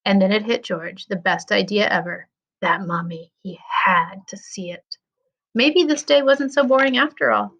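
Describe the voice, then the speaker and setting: A woman, a school librarian, reading a children's story aloud with expressive storytelling. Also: medium pitch, normal speed, dull audio.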